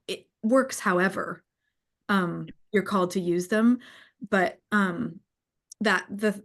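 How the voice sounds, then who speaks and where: Thoughtful woman feeling her way through words. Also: on the low side. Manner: thoughtful, halting, searching for words. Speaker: co-creator hosting a live audience call. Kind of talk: casual reflective conversation, answering audience questions. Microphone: online live call.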